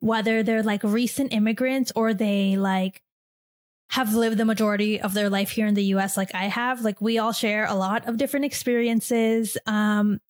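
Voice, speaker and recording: A woman's voice: medium pitch, steady tone, normal speed. A young woman from Chile raised in the US, hosting a casual solo podcast and talking in a chatty, rambling way full of "like". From born in Chile, raised in the US. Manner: chatty, rambling, many "like"s and restarts. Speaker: podcast host.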